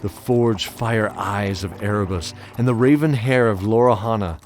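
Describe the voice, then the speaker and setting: A man's voice: on the low side, normal speed, soft background music, natural voice. A man playing a bearded old knight, fatherly and wistful, telling his tale hesitantly in an audio drama. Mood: wistful, sombre. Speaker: narrator and knight character.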